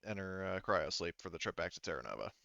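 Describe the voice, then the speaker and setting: Smooth low-voiced man, slightly muffled. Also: big pitch swings, fast talker, pushed and bright, dry recording. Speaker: game master. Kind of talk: casual tabletop game narration.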